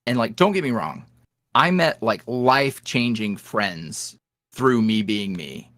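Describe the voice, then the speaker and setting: Animated young man, lively candid talk. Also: a little slow, dry recording, clean studio sound. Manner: candid, animated, jumps up in pitch when quoting others. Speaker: guest, ASMR roleplay creator. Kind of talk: casual interview chat.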